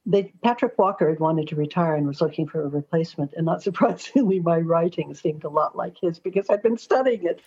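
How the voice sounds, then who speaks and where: A woman's voice: fairly low pitch, brisk, hardly any pauses, darkish tone, breathy edge. An American woman in middle age or older, a forthright and chatty interview guest telling anecdotes conversationally. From Los Angeles, United States. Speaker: astrologer and columnist, interview guest. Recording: slightly dull, lo-fi audio.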